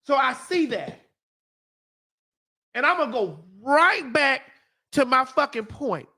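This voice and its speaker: A talk-show host ranting in heated, profane, emphatic casual talk. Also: female voice, sits high, very animated, slow pace, bright, forceful tone.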